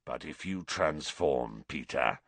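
A man's voice: low-pitched, fairly even intonation, normal speed, no room sound. Man acting a fierce, snarling, vengeful man. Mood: growled threat. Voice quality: snarling, growling. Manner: forceful, accusing. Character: vengeful, fierce wronged man, shaking with fury.

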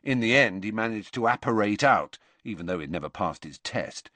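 Brief: man putting on a scared, defiant young wizard, tense and vehement, pitched up and pushy, dry close sound. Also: expressive, moderate pace, pushed and bright, no echo. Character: defiant, frightened teenage boy wizard.

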